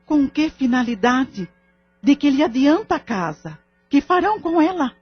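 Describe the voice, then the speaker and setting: A woman's voice: high-pitched, big pitch swings, moderate pace. Woman as a firm, commanding séance leader. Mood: probing. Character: firm, insistent lady spirit medium questioning a spirit. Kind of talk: audio drama acting. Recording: muffled lo-fi audio drama recording. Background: background music.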